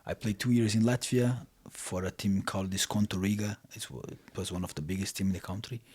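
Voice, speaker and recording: A man's voice: low voice, fairly even intonation, a little fast, darkish tone, breathy edge. A man in his thirties from Brazil with a Brazilian accent, a podcast guest talking casually in English with frequent restarts. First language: Portuguese. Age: 30s. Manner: non-native English with repeated restarts. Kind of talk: casual interview talk.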